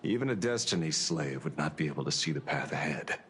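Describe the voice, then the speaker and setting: A man's voice: rumbling low, expressive, a little fast, a bit bright, slight background noise, heavily acted. An actor playing a world-weary, mercenary older male detective in a video game, with a dry sardonic manner. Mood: grave.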